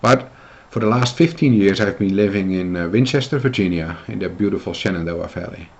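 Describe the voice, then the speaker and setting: Dutch-accented man, low-pitched business coach. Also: breathy edge, highs cut off. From Netherlands. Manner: earnest, measured, lecturing to the viewer. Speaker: business consultant. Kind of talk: instructional video explainer.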